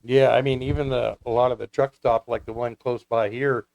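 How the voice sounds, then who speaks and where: A man's voice: mid-range voice, steady tone, moderate pace, vocal fry, a little mellow, lo-fi sound. A man talking casually as a guest about his hobby, in a folksy, rambling storytelling way. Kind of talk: casual hobby talk with anecdotes.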